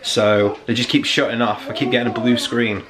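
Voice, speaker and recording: A man's voice: mid-range voice, little pitch movement, normal speed, a crowd in the background. A man, chatting playfully and casually to a handheld vlog camera. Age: 20s. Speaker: family vlogger, father. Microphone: camera held at arm's length. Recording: loud music over the voice.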